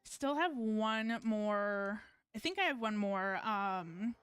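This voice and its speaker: A woman's voice: mid-range voice, animated, slow, a bit bright, clean tone, no room sound, faint music behind. A woman chatting casually on a game livestream in a rambling, self-correcting way. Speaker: VTuber game streamer. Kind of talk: casual livestream chat while gaming.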